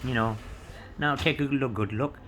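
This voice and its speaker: A man's voice: medium pitch, moderate pace, very noisy. A man rambling through a coin show-and-tell, peppering his talk with "you know" and spelling out inscriptions letter by letter. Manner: meandering, full of "you know" and "yeah", reads inscriptions letter by letter. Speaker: coin collector showing coins.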